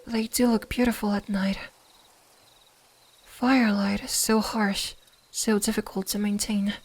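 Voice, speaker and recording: A woman playing a gentle, curious kitsune fox spirit in an ASMR roleplay, speaking softly, soothingly and a little hesitantly. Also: medium pitch, fairly even intonation, unhurried. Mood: gentle, slightly shy. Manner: soft-spoken, soothing, hesitant, at times whispering.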